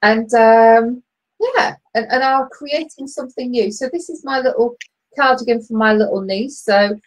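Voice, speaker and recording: A middle-aged woman co-hosting an online knitting chat over a video call, chatty and laughing often, with a loud booming voice. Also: normal speed, poor audio. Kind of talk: casual chat. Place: bedroom at home, knitting. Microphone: laptop webcam on video call.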